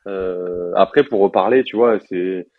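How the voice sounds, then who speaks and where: A young man in his twenties from France, a software developer chatting casually in an interview with lots of fillers and slang. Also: low-pitched, measured pace, dry recording, phone-quality sound. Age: 20s.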